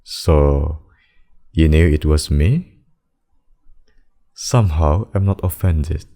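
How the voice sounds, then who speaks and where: A man's voice: extremely low pitch, warm tone, exaggerated voice. A man playing a devoted, protective demon king in an intimate ASMR roleplay, speaking formally and tenderly to a lover. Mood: playful, affectionate. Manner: slow, formal, doting speech with endearments.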